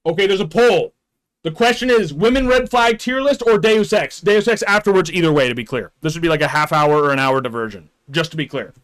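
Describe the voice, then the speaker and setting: A man's voice: fairly high pitch, lively intonation, brisk, a bit bright, poor audio. A young man streaming live, chatting casually with his viewers in a rambling way, chuckling often and trailing off.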